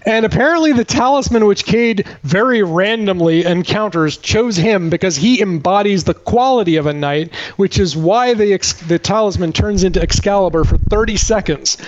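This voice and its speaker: A man's voice: high-pitched, normal speed, few pauses. A middle-aged man co-hosting a podcast, recapping a film in rambling, incredulous casual talk. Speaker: podcast co-host. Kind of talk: casual chat, movie recap. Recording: dull, band-limited podcast audio.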